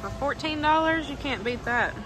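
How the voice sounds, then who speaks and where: A woman's voice: sits high, animated, normal speed, music in the background. A woman with a Southern US accent chatting casually as a shopping vlogger, informal and gushing about products and prices. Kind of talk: casual vlog chat, remarking on products and prices.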